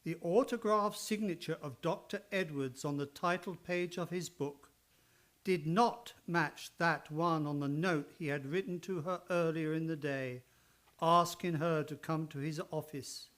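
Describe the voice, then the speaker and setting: A man reading scripted narration and promos as a radio announcer, with deliberate theatrical delivery. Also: on the high side, a bit bright. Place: radio studio, live performance.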